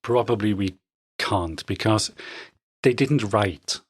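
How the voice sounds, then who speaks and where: A man speaking as a guest scholar in an interview, answering thoughtfully with frequent pauses and ums. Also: on the low side, breathy edge, dry recording, studio quality. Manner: hesitant, thoughtful, many ums.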